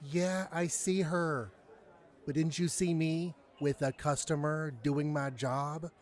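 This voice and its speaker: Flustered young barista dude, slightly high voice, earnest and halting, audio drama. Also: male voice, fairly even intonation. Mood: reluctant, exasperated. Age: young adult. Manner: halting, exasperated, conscientious.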